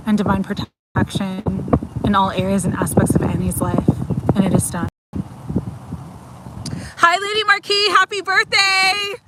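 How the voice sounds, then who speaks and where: A woman's voice: medium pitch, heavy background noise. A woman in her forties giving chatty, affirming oracle card readings on a livestream, laughing often between lines. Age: 40s. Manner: warm, chatty, giggly, affirming. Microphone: livestream mic.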